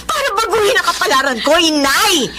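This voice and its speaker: Very high-pitched, vindictive Filipino scheming woman. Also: very animated, fairly quick. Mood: defiant, anguished. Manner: taunting, threatening, melodramatic. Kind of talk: Filipino radio drama acting. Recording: noisy radio drama recording.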